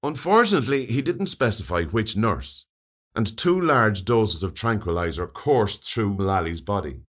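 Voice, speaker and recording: An Irish man narrates a comic short story. His voice sits fairly low, but the intonation swings widely as he reads. The delivery is scripted, expressive and wry. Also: moderate pace. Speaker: story reader.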